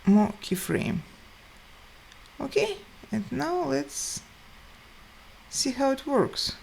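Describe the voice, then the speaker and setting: Slow-talking, lively-pitched woman giving a tutorial. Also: creaky, a little hiss or hum, low-quality recording. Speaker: tutorial host. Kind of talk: step-by-step software tutorial narration.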